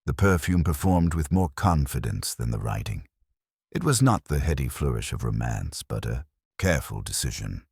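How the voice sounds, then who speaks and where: Very deep, husky male narrator. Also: very animated, a little mellow, no room sound, clean studio sound. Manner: measured, formal storytelling. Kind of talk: scripted literary audiobook narration.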